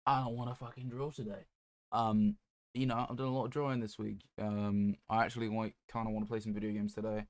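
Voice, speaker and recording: A man chatting casually on a gaming livestream in a low-key, rambling, hesitant way. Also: dry recording. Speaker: video game streamer.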